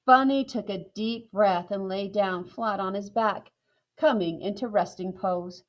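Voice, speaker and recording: Slow, clear woman reading a children's story. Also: medium pitch. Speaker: narrator.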